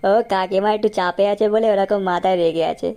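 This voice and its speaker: An actor playing a brisk, bossy, street-wise village man in a comedy cartoon, chatty and know-it-all. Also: mid-range voice, fairly even intonation, a little mellow, clean tone, lightly acted. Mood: concerned, advising. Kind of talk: Bengali comedy cartoon acting.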